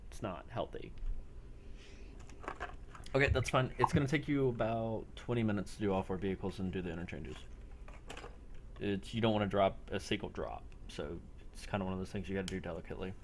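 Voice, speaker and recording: Male game master, low voice, matter-of-fact improvised narration, audible background noise. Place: online group game session.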